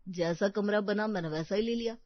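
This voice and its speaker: A woman's voice: medium pitch, fairly quick, no echo, natural voice. A woman voicing a lovestruck, indulgent young man in an audio story, mild and accommodating. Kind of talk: Hindi audio story acting. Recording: slightly dull, dry studio recording.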